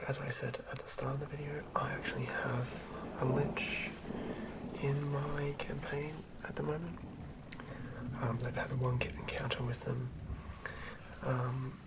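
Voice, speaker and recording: A person whispering breathily and deliberately close to the microphone, as the host of a fantasy game ASMR read-through. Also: medium pitch, vocal fry, distant mic, heavy background noise. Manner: hushed, deliberate phrase-by-phrase reading. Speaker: host and game master reading a monster stat block.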